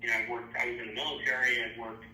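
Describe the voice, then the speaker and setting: A man's voice: medium pitch, little pitch movement, rapid-fire, pushed and bright. A man conducting a casual but professional job interview by phone, explaining things informally and checking in as he goes. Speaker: job interviewer, hiring manager. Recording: roomy, distant phone line, faint noise.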